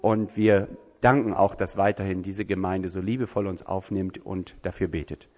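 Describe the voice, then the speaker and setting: Deep-ish guy speaking earnestly to a congregation, echoey church hall, muffled lo-fi sound. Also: steady tone, normal speed, warm tone. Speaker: church leader introducing missionaries. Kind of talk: church service announcement.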